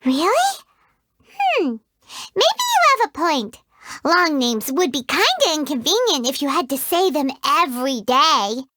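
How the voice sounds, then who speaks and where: A woman voicing a tiny, excitable fairy companion in a video game who talks about herself in the third person. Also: a little slow, no room sound, studio quality, heavily acted. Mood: chirpy, excitable. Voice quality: high squeaky childlike voice. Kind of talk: video game character dialogue.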